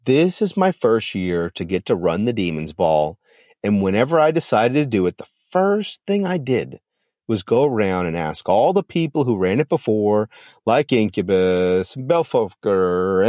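A man voicing a calm, dismissive female demon who runs a party. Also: lowish voice, normal speed, no room sound, studio quality. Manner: breezy, condescending.

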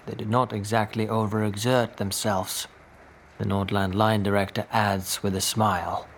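An actor playing a weary, conscientious doctor in a naturalistic 1940s audio drama, speaking in a low-key, hesitant way. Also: male voice, level tone, normal speed, a little hiss or hum, close to an everyday voice. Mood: grim. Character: weary, conscientious male Norwegian doctor in 1945.

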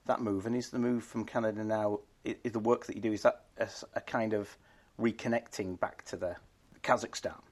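A man with a husky voice presenting and interviewing as a podcast host. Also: fairly low pitch, fairly even intonation, fairly quick, darkish tone. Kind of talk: scripted narration and podcast interview.

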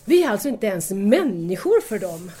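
A woman's voice: mid-range voice, very animated, dark tone, husky. A young actress playing an anxious, emotional woman in wartime Paris in an audio drama, speaking earnestly. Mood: agitated, afraid. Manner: earnest, agitated.

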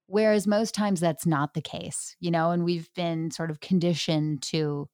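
A woman's voice: fairly low pitch, fairly even intonation, measured pace, smooth, dry recording, clean studio sound. A woman hosting an interview, talking enthusiastically and telling stories with fillers. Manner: enthusiastic, warm storytelling with fillers. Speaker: host. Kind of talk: casual interview chat.

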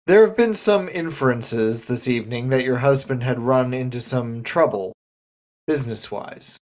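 A man playing a polite, restrained police detective, measured and formal, in a comic radio murder mystery. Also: mid-range voice, darkish tone, lightly acted. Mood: tactful, grave.

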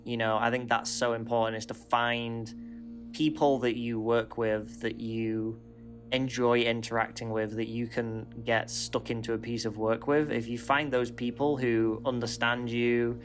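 Male writer, reflective and informal, even intonation, average pitch, light music bed. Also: a little slow. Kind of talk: reflective personal advice talk.

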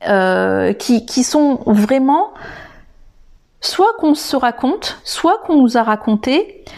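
A woman talks to her listeners in an unscripted podcast lesson. She speaks slowly, in a fairly high voice. She often stops to hesitate and restart. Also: expressive, gravelly, darkish tone. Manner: hesitant, many filler sounds and restarts; addresses the listener directly and informally. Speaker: English teacher and podcast host.

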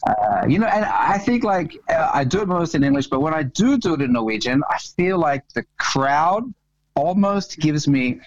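A man's voice: on the high side, sing-song, moderate pace, dry recording. An Australian man as a podcast guest, laid-back and profane, peppering his talk with "bro" and "man". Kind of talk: casual conversational interview. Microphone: phone on a remote call. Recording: muffled lo-fi phone-call audio.

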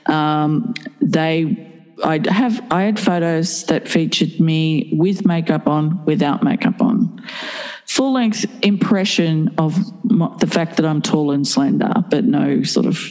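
A woman's voice: sits low, slow, a little mellow, big-room sound, poor audio. A woman in her fifties talking frankly and bluntly in a candid interview, swearing casually. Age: 50s.

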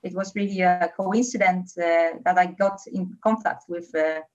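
A woman's voice: fairly low pitch, fairly even intonation, normal speed, darkish tone, muffled recording. A young woman from Poland with a Polish accent, speaking as a guest on a podcast interview, hesitant with many fillers. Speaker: engineer guest.

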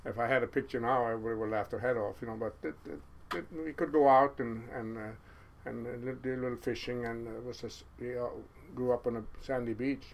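A man in his seventies with a Norwegian accent, telling stories as an interview guest in a halting way, with many restarts. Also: mid-range voice, fairly even intonation, a little hiss or hum, poor audio. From Norway. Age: 70s. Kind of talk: casual storytelling interview.

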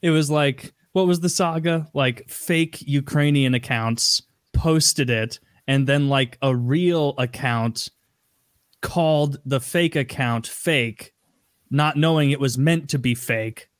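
A man co-hosting a casual podcast chat, wry and quick to laugh and make mocking asides. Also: mid-range voice, no echo, clean studio sound. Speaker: podcast co-host.

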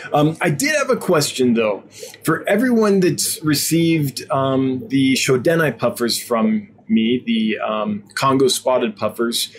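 A man's voice: medium pitch, slight background noise. Stuffy-nosed man hosting a casual stream. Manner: relaxed, rambling, with many ums and restarts. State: stuffy nose, sniffling. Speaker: host of a weekly fish-selling livestream. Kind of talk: casual livestream chat.

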